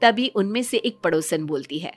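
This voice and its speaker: A woman's voice: mid-range voice, animated, normal speed. A woman narrating a scripted moral story as a storyteller. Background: background music.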